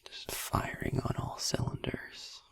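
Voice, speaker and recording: A man playing a soothing vampire boyfriend in an ASMR sleep-aid roleplay, musing gently in a hushed, breathy whisper close to the microphone. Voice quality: breathy whisper with gravelly creak. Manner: slow, hushed, gently musing with long pauses. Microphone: close microphone, dry.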